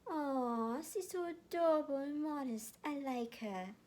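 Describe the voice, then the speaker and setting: A woman voicing an excitable, sweet mother who gushes and coos in a fan fiction reading. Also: high-pitched, measured pace, voice pushed up. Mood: delighted cooing. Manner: gushing, cooing. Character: gushing, excitable shapeshifter mother, sweet and cooing.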